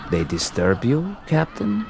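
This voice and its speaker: A male actor playing a ghostly former prisoner in a radio drama, measured, taunting and menacingly polite as he accuses. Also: on the low side, very animated, background noise. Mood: mocking, sinister.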